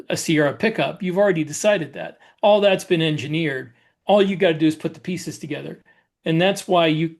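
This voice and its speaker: A man in his fifties co-hosting a podcast, explaining things casually as a working writer. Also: on the high side. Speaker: podcast co-host, fiction writer. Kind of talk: casual chat, explaining by analogy.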